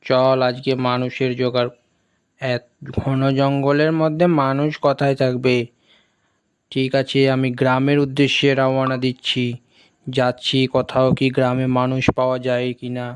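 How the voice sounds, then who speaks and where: A man's voice: mid-range voice, level tone, a little slow, clear, resonant tone, dry recording, clean studio sound, lightly acted. A man voicing a hungry, scheming female witch-ghost in a cartoon folk tale.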